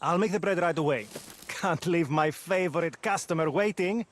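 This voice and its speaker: A man's voice: a little high, normal speed, natural voice. A man playing a friendly, grateful village merchant in a video game cutscene, genial and familiar.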